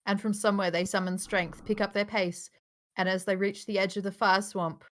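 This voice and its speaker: A woman's voice: medium pitch, little pitch movement, a little fast. A woman reading out screenplay stage directions at a casual live table read, with occasional offhand asides.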